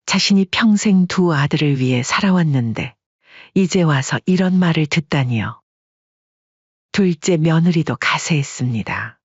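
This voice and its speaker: A man narrating a family drama story in an even, dramatic storytelling manner. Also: a little high, measured pace, husky, no echo. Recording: dull lo-fi dry sound.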